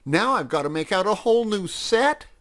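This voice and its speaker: A man voicing an excitable, grumbling male pig in a children's story, exclaiming in exasperation. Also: mid-range voice, a little slow. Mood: exasperated.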